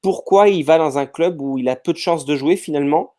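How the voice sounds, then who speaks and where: Chatty man thinking out loud. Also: on the high side, brisk. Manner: hesitant, thinks aloud with many fillers and restarts. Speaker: podcast panelist. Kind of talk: casual podcast discussion.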